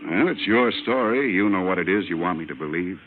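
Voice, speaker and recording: An actor playing a composed, deliberate military psychiatrist in a 1950s radio drama. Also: male voice, deep voice, animated, a little hiss or hum. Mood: calm, reassuring. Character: calm, measured military psychiatrist narrating a strange case. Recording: tinny old radio recording with music.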